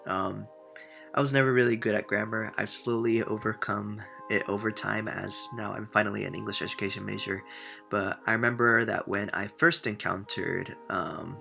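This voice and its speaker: A young university student from Japan, whose first language is Japanese, answers interview questions earnestly, with plenty of filler sounds between thoughts. His voice sits low and gravelly, with a bright edge. Faint music plays behind him. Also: steady tone, normal speed. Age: young adult.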